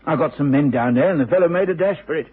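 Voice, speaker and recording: An actor playing a weary, matter-of-fact police inspector in a radio drama. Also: male voice, on the high side, fast, slightly rough. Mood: rueful. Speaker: supporting actor. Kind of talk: British radio drama acting. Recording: tinny old radio recording.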